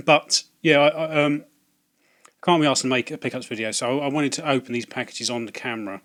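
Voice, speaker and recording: A slightly hoarse man, talking casually to camera about video game purchases, hesitant with many ums and restarts. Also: medium pitch, normal speed, fairly bright tone. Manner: hesitant, lots of ums and restarts, wry asides. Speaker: video game collecting vlogger. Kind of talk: casual rambling vlog to camera.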